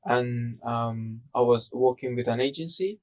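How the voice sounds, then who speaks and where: A man's voice: mid-range voice, a little slow. Hesitant young man from Iran. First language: not English. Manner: hesitant, with many fillers and self-corrections. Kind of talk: casual chat.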